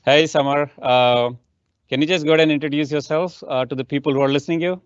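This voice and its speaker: A man hosts an online panel in a smooth, resonant voice of middle pitch. The sound is dry and a bit dull. He invites the guest to speak and adds short encouraging replies while she talks. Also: fairly even intonation, fast, no room sound. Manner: brief, encouraging backchannels. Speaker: session host. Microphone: video call. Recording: dry, muffled online call.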